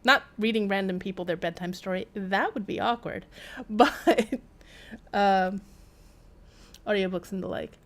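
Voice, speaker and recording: A woman's voice: mid-range voice, unhurried, pauses often. A woman game streamer chatting casually with her live viewers.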